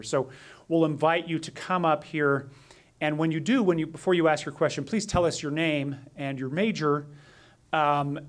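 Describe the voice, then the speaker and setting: A middle-aged man moderating a university panel from a podium, with many fillers and a procedural manner. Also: a little high. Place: university lecture room. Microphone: podium mic.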